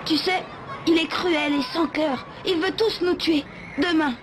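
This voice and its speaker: Very high-voiced, anxious young child. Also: female voice, fairly even intonation, a little slow, noisy background. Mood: fearful, hushed. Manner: urgent, pleading. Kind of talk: French animated series dubbing. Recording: loud music under the voice.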